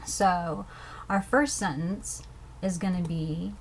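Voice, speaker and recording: A young woman from the States, teaching language phrases slowly and repeating each one before translating it. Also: on the low side, steady tone, slightly rough. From southern United States. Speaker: language learner teaching vocabulary. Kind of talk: slow, careful language lesson with repeated phrases.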